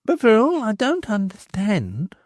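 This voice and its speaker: A man voicing a stout, matronly village woman who talks in a sharp, scolding way. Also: huge pitch swings, a little slow, dry recording, clean studio sound, heavily acted. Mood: tart. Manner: sharp, scolding, high-pitched.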